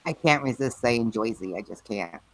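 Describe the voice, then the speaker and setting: A woman's voice: a bass voice, animated, normal speed, low-quality recording. A middle-aged woman from New York hosting a livestream, chatting casually, rambling between topics and reading out the chat with frequent laughs. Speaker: livestream host.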